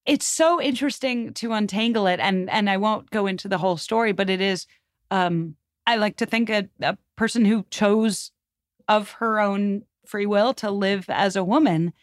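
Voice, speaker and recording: A woman's voice: medium pitch, moderate pace, no room sound, studio quality. A woman guest on a podcast talking history in an informal, emphatic storytelling way. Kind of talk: conversational history discussion.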